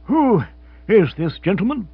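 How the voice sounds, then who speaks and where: A man's voice: sits high, big pitch swings, unhurried, slightly rough, no echo, highs cut off, pitched above the performer's own voice, exaggerated voice. A man reading an audiobook, voicing a stiff, pompous older chief constable with a clipped, officious manner. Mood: haughty.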